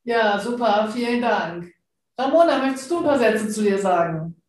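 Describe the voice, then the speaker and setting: Woman podcast host, friendly short prompts, slightly high voice, big echo all around. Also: expressive, normal speed, a little mellow. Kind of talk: hosting a team introduction round. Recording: echoey room.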